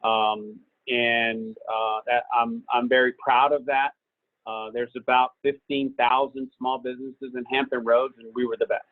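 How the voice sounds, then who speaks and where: A man's voice: mid-range voice, measured pace, dry recording. Man talking on a tinny video call. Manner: relaxed, rambling, many fillers. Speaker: business owner and guest speaker. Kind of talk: casual answers on a video call. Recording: tinny phone-like call audio.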